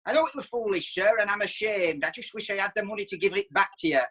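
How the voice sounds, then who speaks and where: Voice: mid-range voice, quick pace, pushed and bright, breathy edge. An actor playing a cheeky, theatrical swindler standing as defendant in a courtroom play. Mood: sheepish pleading. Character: defiant, cheeky swindler Horace Binks, down on his luck. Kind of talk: courtroom stage play acting.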